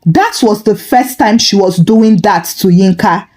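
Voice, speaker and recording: A woman narrating a scripted dramatic story in a deliberate, expressive storytelling manner. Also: medium pitch.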